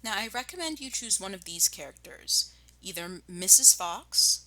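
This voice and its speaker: A woman's voice: medium pitch, expressive, moderate pace, bright, forceful tone, low-quality recording. A woman primary school teacher reading a children's story expressively and addressing her pupils. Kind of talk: expressive children's storybook read-aloud and lesson.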